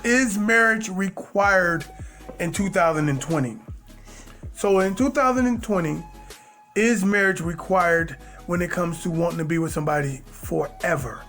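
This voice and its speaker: A man's voice: high-pitched, normal speed, a bit bright, faint music behind. A man co-hosting a podcast, talking in a playful, teasing way with streetwise slang. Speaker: podcast co-host. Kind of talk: casual chat.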